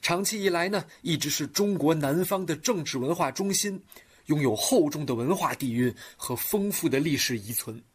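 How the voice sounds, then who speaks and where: A man's voice: fairly high pitch, expressive, moderate pace, breathy edge. A man co-hosting a children's broadcast in a polished presenter's delivery, reciting classical verse. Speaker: program co-host.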